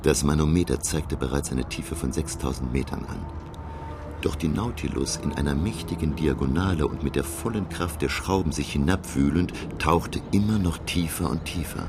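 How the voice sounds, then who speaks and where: An actor playing a thoughtful professor who narrates his sea voyage in a radio drama, precise and grave, with a gravelly voice. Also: rumbling low, background noise. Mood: tense, awed. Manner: precise, grave, deliberate storytelling.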